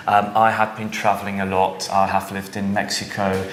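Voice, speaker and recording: A man's voice: deep voice, monotone, normal speed, a bit bright, slight background noise. A man from Switzerland in his thirties, a startup founder answering interview questions in a thoughtful way, with many fillers and tag questions. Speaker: startup founder and CEO guest. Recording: echoey room with faint ambience.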